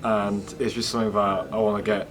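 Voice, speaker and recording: Fast-talking young man from Poland. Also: lowish voice, level tone. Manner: quick, uses "like" and "basically". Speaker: film apprentice. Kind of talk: interview answers. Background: background music.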